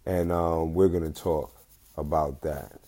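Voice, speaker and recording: A man with a very low voice hosts a casual live talk show, talking into his phone. He rambles loosely, full of fillers and restarts. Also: steady tone, a little slow, dark tone. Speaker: host.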